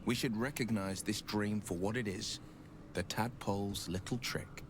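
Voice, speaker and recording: A man acting an earnest, principled game adventurer with a fairly low voice. He speaks formally and eloquently, wary in temper, over clear background ambience. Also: moderate pace, natural voice. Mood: cautioning. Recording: noisy ambience behind.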